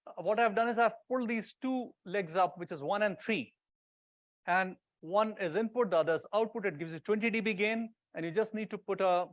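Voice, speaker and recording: A man's voice: high-pitched, no echo. A man presenting an informal technical talk, explaining step by step and checking that listeners follow. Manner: explanatory, often checking with "right?" and "okay?". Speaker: conference presenter, radio builder.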